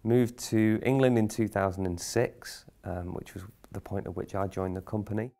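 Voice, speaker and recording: A man from a theatre company giving a reflective, measured explanation in an interview. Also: lowish voice, steady tone, vocal fry, mellow.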